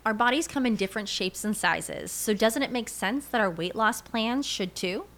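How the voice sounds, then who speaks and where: Woman reading a smooth commercial. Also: a little high, animated, normal speed, fairly bright tone. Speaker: ad reader. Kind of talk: scripted commercial read.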